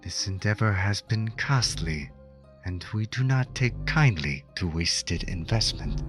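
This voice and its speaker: Casting: sinister handler, low voice, measured and quietly threatening, sci-fi audio drama, music underneath. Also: male voice, expressive. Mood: menacing. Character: coolly menacing male agent of a secret benefactor.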